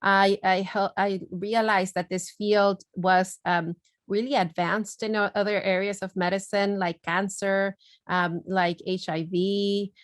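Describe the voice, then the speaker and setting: A middle-aged woman from Guatemala talks earnestly on a video call, with frequent fillers and restarts. Her voice sits at an ordinary pitch, and the sound is dry and close. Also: no room sound. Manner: earnest, many fillers and repeated words. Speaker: neurologist and webinar speaker. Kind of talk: webinar presentation and Q&A.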